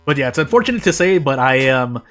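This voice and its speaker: A man's voice: medium pitch, lively intonation, fast, a bit bright. An American man giving casual live commentary as a game streamer, rambling and often correcting himself as he goes. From United States. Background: music.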